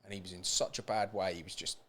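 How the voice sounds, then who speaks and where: A man with a British accent and a fairly low-pitched voice. He speaks candidly as a podcast guest, earnest and emphatic, often repeating a phrase to press it home. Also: fairly even intonation, fast, a bit bright, a little hiss or hum. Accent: British English. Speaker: podcast guest, support group founder. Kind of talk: candid personal interview.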